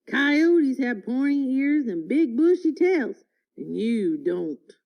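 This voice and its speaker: A woman's voice: sits high, big pitch swings, slow, a little mellow, exaggerated voice. A woman voicing a plain-spoken, skeptical cowboy in a storybook, with a folksy, colloquial way of talking. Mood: reasoning. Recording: dull, lo-fi audio.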